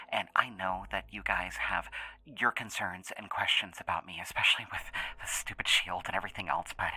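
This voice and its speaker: An actor playing an anxious, earnest young man in an audio drama interview, speaking haltingly and repeating words. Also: low voice, bright, forceful tone, breathy edge, exaggerated voice, rough recording. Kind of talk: naturalistic audio drama acting.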